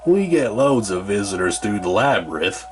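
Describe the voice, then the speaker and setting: A man doing a gruff Southern rancher. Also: very animated, moderate pace, a little hiss or hum. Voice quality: low drawl. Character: middle-aged male rancher, gruff, menacing.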